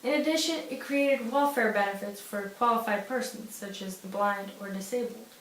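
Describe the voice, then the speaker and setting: A woman's voice: medium pitch, moderate pace, fairly bright tone. A young woman reading scripted news as an anchor. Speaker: news anchor in an amateur newscast. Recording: roomy echo with faint hiss.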